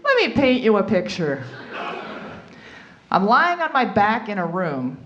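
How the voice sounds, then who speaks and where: A woman's voice: mid-range voice, huge pitch swings, measured pace, fairly bright tone, highs cut off. A woman comedian performing a stand-up routine, telling candid, bawdy stories and acting out asides. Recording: live comedy club with audience.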